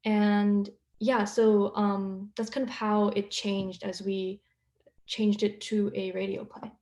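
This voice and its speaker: A young woman answering panel questions earnestly, pausing often to find her words. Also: medium pitch, flat delivery, warm tone, echoey room. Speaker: playwright.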